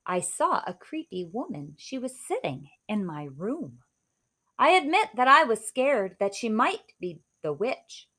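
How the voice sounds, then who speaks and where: Female storybook reader: slow, highly expressive, theatrical rhymes, lively rise and fall. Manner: theatrical, emphatic delivery of rhymes. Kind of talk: expressive read-aloud of a rhyming children's story.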